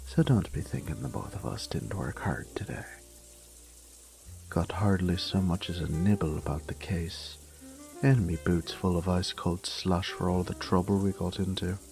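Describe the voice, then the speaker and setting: Man acting a low, gravelly charming thief in love. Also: normal speed, a little mellow, faint music behind. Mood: mock indignant. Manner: flirtatious, murmuring, drops into whispers. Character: charming reformed male thief, teasing, tender fiancé. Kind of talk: intimate romantic audio roleplay.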